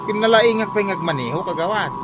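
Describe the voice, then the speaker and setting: An actor playing a cheeky, eager tricycle driver in a radio comedy, animated and exclamatory, with an Ilocano accent. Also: medium pitch, fast, heavy background noise, close to an everyday voice. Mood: boastful. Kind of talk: Filipino radio comedy drama acting.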